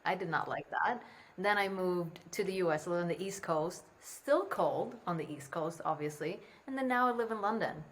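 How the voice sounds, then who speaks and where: Candid low-voiced Swedish-raised woman guest. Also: normal speed, a little hiss or hum. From Sweden. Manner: candid and relaxed, swears freely, lots of "like". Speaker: podcast guest. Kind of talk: casual interview conversation. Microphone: remote call from home.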